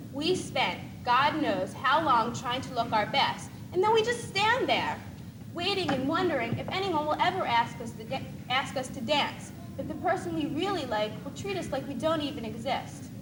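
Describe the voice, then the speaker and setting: High-voiced earnest teenage girl acted by a student. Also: very animated, moderate pace. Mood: earnest, wistful. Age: young teenager. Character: thoughtful teenage girl unsure about boys. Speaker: student actor in a school one-act play. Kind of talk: school stage monologue and dialogue. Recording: noisy 1980s school video recording.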